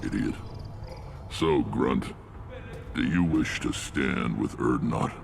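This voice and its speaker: Battle-worn alien warlord, extremely low pitch, heavy growling gravel, commanding, wry, game cutscene. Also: male voice, slow pace, noisy background, heavily acted. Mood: gruff, blunt. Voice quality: gravelly, rumbling. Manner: blunt, commanding, dryly wry. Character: huge battle-worn alien warlord, gruff, shrewd reforming clan chief. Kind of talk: video game cutscene acting.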